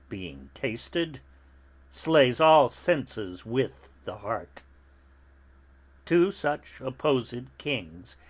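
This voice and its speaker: An actor playing an elderly, fatherly friar who muses in classical verse with deliberate pauses and sudden swells, gently chiding. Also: medium pitch, heavily acted. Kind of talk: classical verse drama, theatrical dramatic reading.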